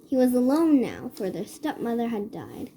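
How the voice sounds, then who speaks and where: A woman reads a children's story aloud in a measured way. Her voice sits fairly high but has a dark, mellow tone. There is a faint noise behind her. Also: big pitch swings, poor audio. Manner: measured read-aloud delivery. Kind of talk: scripted children's story narration.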